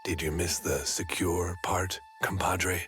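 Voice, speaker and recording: An actor playing a paranoid, self-important neighborhood watch man in a video game, raspy, terse and suspicious. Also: steady tone, slow pace, a bit bright, faint music behind. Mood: secretive, stern. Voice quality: very deep gravelly rasp.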